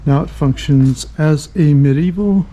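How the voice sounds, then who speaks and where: Man with a dark, mellow voice. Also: on the high side, unhurried, low-quality recording. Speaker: travel vlogger and tour narrator. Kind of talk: casual sightseeing narration.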